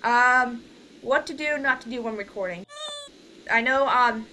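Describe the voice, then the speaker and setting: A woman with a fairly high voice leads a casual group meeting over an online call. She talks hesitantly and rambles, often trailing off. There is faint noise in the amateur recording. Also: measured pace, sharp tone, poor audio. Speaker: director leading a voice cast meeting.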